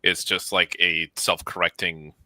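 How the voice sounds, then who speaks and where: A man's voice: sits low, level tone, a little slow, pushed and bright, no echo. A man chatting as a podcast guest, emphatic and opinionated. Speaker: audio drama creator, podcast guest. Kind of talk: casual interview chat.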